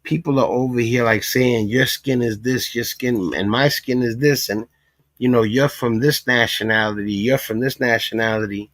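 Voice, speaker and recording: A man from Puerto Rico hosting a livestream, speaking at an average pitch and stressing his points. The recording is dry, with little room sound. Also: fairly even intonation, normal speed, fairly bright tone, dry recording. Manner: emphatic, talks in repeated asides. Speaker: livestream commentary host. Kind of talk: casual reaction commentary.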